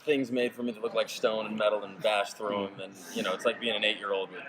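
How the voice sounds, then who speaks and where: A man with a low voice talks quickly and casually about his series, easygoing and joking. A convention crowd buzzes clearly behind him. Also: little pitch movement, poor audio. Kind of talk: casual press-junket chat. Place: busy convention floor.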